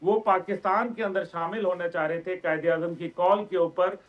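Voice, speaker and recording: A man's voice: sits high, fairly even intonation, moderate pace, lo-fi sound. A man with a Pakistani accent giving emphatic, lengthy explanations as a guest commentator on a political television talk show. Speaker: guest commentator, organisation chairman.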